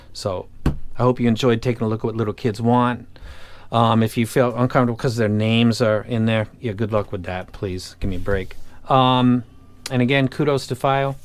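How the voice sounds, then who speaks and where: A man talking casually and off the cuff as an online audio gear reviewer, blunt, opinionated and colloquial. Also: fairly low pitch. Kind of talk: casual unscripted review talk.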